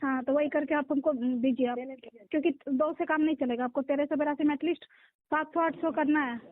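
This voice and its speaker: Tinny phone line, high-voiced insistent woman. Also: steady tone, a little fast, dry recording. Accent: Bihari. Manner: insistent, directive. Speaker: block-level government official. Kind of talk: official phone conversation. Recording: tinny recorded phone call.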